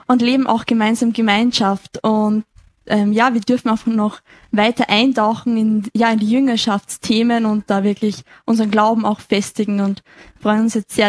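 A woman in her twenties from Lower Austria with an Austrian accent, a student guest answering interview questions in an eager, chatty way full of fillers. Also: dry recording. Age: 20s. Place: bookshop café.

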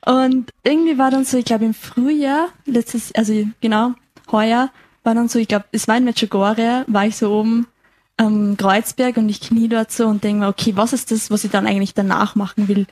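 A woman in her twenties from Lower Austria with an Austrian accent, a student guest answering interview questions in an eager, chatty way full of fillers. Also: fairly even intonation, fairly quick, a little mellow, no echo. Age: 20s. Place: bookshop café.

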